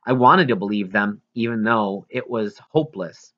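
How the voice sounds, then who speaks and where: A man reading a first-person story aloud in an expressive, earnest way, now and then stumbling. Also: lowish voice, moderate pace, dull audio. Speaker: audiobook reader.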